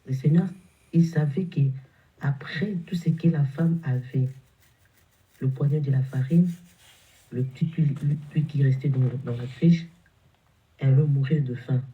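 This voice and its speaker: A man's voice: mid-range voice, unhurried, long pauses, narrow, tinny audio. A man with a cold preaching a morning exhortation, repeating and stumbling over his words. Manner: repetitive, stumbling, emphatic preaching. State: has a cold, congested.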